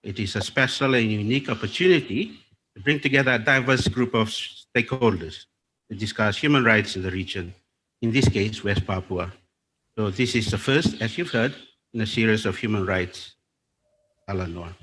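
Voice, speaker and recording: Man from Fiji, low-voiced panel facilitator, measured and formal, faint hum of the hall behind him. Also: fairly bright tone. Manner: measured, deliberate, with small restarts. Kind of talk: formal event moderation. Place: conference hall. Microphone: lectern microphone.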